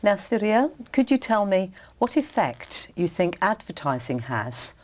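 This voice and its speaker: Woman, examiner, polite, measured questions, lively pitch. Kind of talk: conducting a speaking exam.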